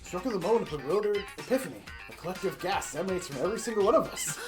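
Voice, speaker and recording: Woman playing a theatrical student narrator, lively pitch. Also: very fast, music in the background. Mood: dramatic, solemn. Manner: theatrical, expressive storytelling. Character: earnest dramatic student narrator of a mystery game. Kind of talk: hobby visual novel narration and voice acting.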